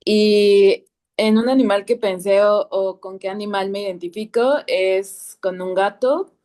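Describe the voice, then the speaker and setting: A woman from Oaxaca introducing herself on a video call, gentle and a bit hesitant. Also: mid-range voice, steady tone, unhurried. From Oaxaca, Mexico. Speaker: workshop participant. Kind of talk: casual self-introduction.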